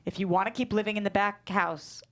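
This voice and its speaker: A woman's voice: medium pitch, brisk, lightly acted. Woman as middle-aged father, sensitive, earnest, self-pitying, mid-range voice, comedy table read. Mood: wounded, earnest. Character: sensitive wealthy middle-aged porn-director dad, male.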